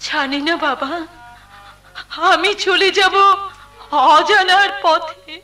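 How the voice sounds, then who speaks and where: A woman's voice: very high-pitched, bright, forceful tone, faint music behind, full character voice, rough recording. A woman playing a wronged, devoted young wife in Bengali folk-theatre melodrama, tearful and pleading. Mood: sorrowful resignation. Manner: tearful, pleading, drawn-out delivery.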